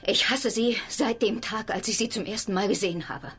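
A woman's voice: medium pitch, brisk, a bit bright, breathy edge, faint music behind. An actress playing a blunt, resentful, coolly determined woman in a radio drama, speaking with control. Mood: cold, resentful.

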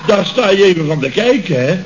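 A man's voice: high-pitched, sing-song, fairly quick. A man playing an exasperated, sarcastic building-firm boss in a radio comedy, blustering and incredulous. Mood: exasperated sarcasm. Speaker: comedy actor. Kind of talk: 1970s Dutch radio comedy acting. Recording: muffled, noisy old radio recording.

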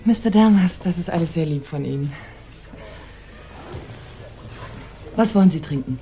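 A low, mellow female voice as a young society wife. She is melancholy yet composed, speaking with polite resignation in an understated radio drama, faint music behind her. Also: expressive, quick pace. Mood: polite, subdued. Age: young adult. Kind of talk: German radio play acting.

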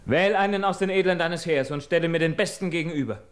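An actor playing a bold, proud young prince, declaiming heroic verse earnestly in a classical radio drama. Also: male voice, a little high, fast, clean tone, lightly acted. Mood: proud, resolute.

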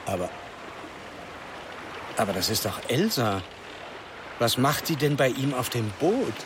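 A man's voice: medium pitch, very animated, a little slow, noisy background. An actor playing a middle-aged, easygoing womanising father, musing casually in a radio drama. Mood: puzzled, indulgent. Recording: music behind the voice.

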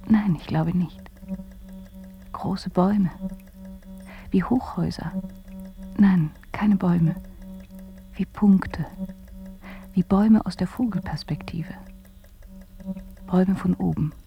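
A bedridden woman in a radio drama, murmuring tenderly in a low, creaky voice. Her delivery is breathy, halting and very unhurried, as if describing visions. Faint music lies underneath. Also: muffled recording. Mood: dreamy, searching.

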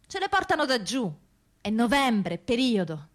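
A woman's voice: a little high, very animated, unhurried. A woman voicing a welcoming, talkative female prison deputy director in a radio play. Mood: chatty, proud. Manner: talkative, earnest.

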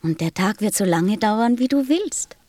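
A woman's voice: medium pitch, animated, measured pace, warm tone, no echo. A curious little prince in an audio drama. The young boy speaks with innocent earnestness and a questioning manner. The sound is dry and studio-close. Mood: eager, helpful. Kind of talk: German audio drama acting.

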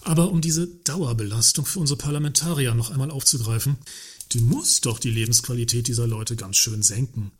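An actor playing a wordy works council member who is politely provocative and mock-earnest in a satirical radio play. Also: male voice, mid-range voice, brisk, breathy edge, close to an everyday voice. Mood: ironic sympathy.

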